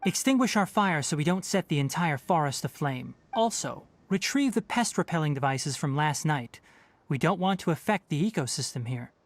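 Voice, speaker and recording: Man playing a measured, earnest young forest ranger. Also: fairly high pitch, lively intonation, slight background noise, close to an everyday voice. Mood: calm, instructing. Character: thoughtful, principled young forest ranger and former scholar. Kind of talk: video game dialogue acting.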